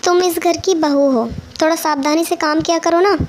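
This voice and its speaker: Woman acting a high-pitched, scolding elder sister-in-law. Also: steady tone, normal speed, slight background noise. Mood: chiding concern. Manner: stern, bossy, chiding. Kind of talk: cartoon moral story dialogue.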